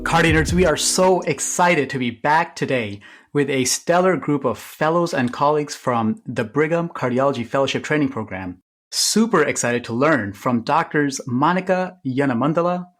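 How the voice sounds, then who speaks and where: A man's voice: mid-range voice, animated, moderate pace. A man hosting a podcast, speaking in an upbeat, enthusiastic way as he introduces the show and guests. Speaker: podcast host.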